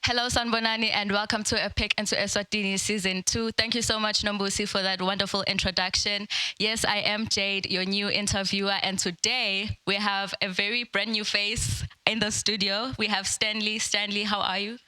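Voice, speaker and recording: Woman interviewer, bright and forceful tone, dry room, friendly and affirming. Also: mid-range voice, little pitch movement, clean tone, dry recording. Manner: friendly, quick affirmations and laughs. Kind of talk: casual interview. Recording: dry studio sound.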